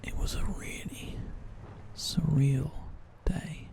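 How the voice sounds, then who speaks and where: A man whispers his way through a slow, musing ASMR gameplay narration. His voice sits a little high for a man, with a gravelly edge. He lingers over every phrase. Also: wildly swooping pitch.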